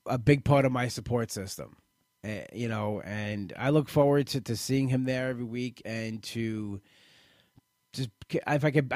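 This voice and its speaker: A man in his late thirties talks candidly about his own experience, stumbling over his words and starting sentences again and again. His voice sits at an ordinary middle pitch, and the recording is dry and close with no room sound. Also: dry recording. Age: late 30s. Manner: halting, many restarts and repeated words. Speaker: weight-loss program member. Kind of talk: personal testimonial talk.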